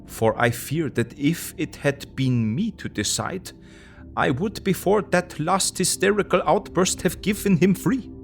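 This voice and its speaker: An actor playing a grave, kindly foreign professor in an audio drama, deliberate, with quaintly non-native phrasing. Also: male voice, on the high side, gravelly, heavily acted.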